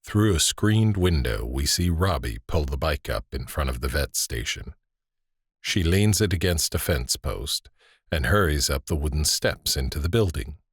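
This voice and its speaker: A man narrating an audio drama in a measured, descriptive, scripted screenplay style. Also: rumbling low, expressive, breathy edge, dry recording, clean studio sound.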